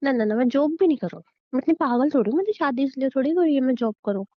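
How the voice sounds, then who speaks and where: A woman's voice: high-pitched, expressive, quick pace, dry recording, dull audio. A woman acting as a defiant, demanding young wife in a conversational audio drama, arguing in a stubborn, taunting way. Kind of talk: acted Hindi relationship audio drama, conversational.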